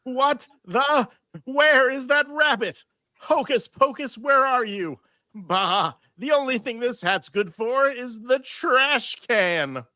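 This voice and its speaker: A woman's voice: high-pitched, expressive, slow, bright, forceful tone, no echo, clean studio sound, exaggerated voice. A woman playing a pompous, petulant, greedy stage magician who scolds theatrically in a live cartoon table read. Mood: exasperated.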